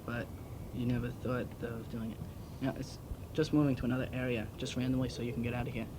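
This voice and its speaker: Fast-talking young man on noisy tape. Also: little pitch movement. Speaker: interviewer for a high school newspaper. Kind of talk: informal interview questioning. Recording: noisy, rumbling 1970s tape recording.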